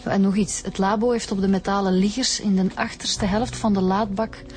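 A level-headed Flemish policewoman , played by an actress, in a radio crime drama. She speaks at a middle pitch, matter-of-fact with some dry teasing, over faint music. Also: fairly even intonation, moderate pace, darkish tone, lightly acted.

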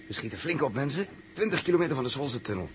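A man plays a calm, sensible traveller in a 1960s radio drama. His mid-pitched voice is dry and wry, set against clear noise on the old recording. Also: fast talker, lightly acted. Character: calm, reasonable, dryly wry male traveller.

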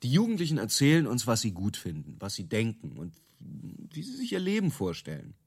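A brash marketing creative in a radio play. His delivery is highly animated, swinging up and down as he makes emphatic, self-assured pronouncements. He sounds opinionated and quick to dismiss objections. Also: slightly rough, exaggerated voice. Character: brash, self-assured male product-marketing creative selling gadget stories. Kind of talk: radio drama dialogue in interview form.

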